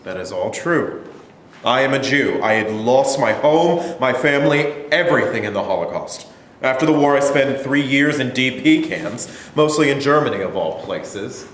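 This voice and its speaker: A man's voice: mid-range voice, lively intonation, moderate pace, fairly bright tone, natural voice. Man playing an indignant old survivor, echoey room. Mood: evasive, weary. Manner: defensive, evasive, protesting. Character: indignant elderly male Holocaust survivor accused of collaborating. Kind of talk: staged reading of a play. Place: indoor room at a staged reading. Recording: echoey room, light noise, distant pickup.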